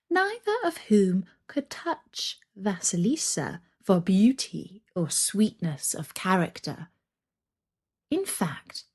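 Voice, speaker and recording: A woman narrating a scripted children's story in a dramatic, deliberate way, with breathy delivery and suspenseful pauses. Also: mid-range voice, a little mellow.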